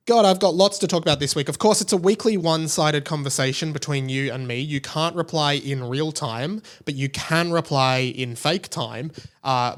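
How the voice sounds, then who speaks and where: A man's voice: on the high side, a bit bright. A young Australian man hosting a solo podcast, chatting casually in a rambling, self-deprecating way. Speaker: podcast host.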